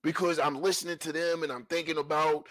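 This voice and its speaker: A man's voice: a little high, little pitch movement, a little fast, fairly bright tone, dry recording. A man hosting a livestream in casual street slang. He stresses his points and often repeats phrases as he talks. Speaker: livestream host. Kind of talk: casual talk-show monologue.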